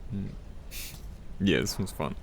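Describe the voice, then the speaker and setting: Man playing young male study partner, gentle and teasing, hushed ASMR roleplay, breathy, deep, slow, hesitant little hums. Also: heavily acted. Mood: calm, studious. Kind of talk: soft-spoken ASMR roleplay. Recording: faint library ambience noise. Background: quiet library ambience.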